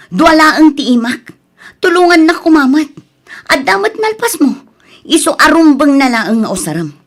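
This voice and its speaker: An actress plays a put-upon adult daughter in an audio drama. Her voice sits a little high and carries an Ilocano accent, earnest and full of reproach. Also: fairly bright tone. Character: overburdened adult daughter and reproachful elder sister.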